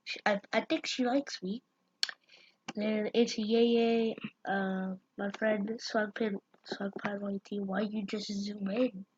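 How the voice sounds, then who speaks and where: Hesitant flat-voiced girl, lo-fi sound. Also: medium pitch, slow pace, a little hiss or hum. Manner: hesitant, stammering. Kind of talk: casual family chat. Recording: dull lo-fi recording.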